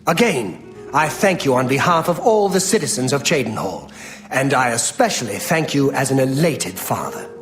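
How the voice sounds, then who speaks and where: Courtly middle-aged count played by a man, very animated. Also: mid-range voice, normal speed, close to an everyday voice. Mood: gracious, relieved. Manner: formal, courtly, eloquent. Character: courtly, gracious count, doting father. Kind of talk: fantasy video game acting. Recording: roomy echo, faint music.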